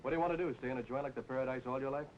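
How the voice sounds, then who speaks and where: A man's voice: medium pitch, very fast, darkish tone, natural voice. An actor playing a jealous, irritable young tough man in old radio noir style. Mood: irritated, jealous. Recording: muffled old radio recording, faint noise.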